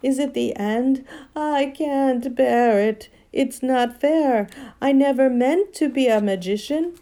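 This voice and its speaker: A woman voicing a frightened, pompous old gentleman magician in an audiobook, trembling, wailing and full of self-pity. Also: sits high, slow, voice pushed up. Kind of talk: audiobook character acting.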